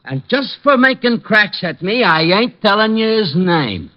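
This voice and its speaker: A man playing a slow-witted, whiny police sergeant who takes offense easily, in comic 1940s radio style. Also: sits high, very animated. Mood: sulky, offended. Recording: dull lo-fi old radio recording.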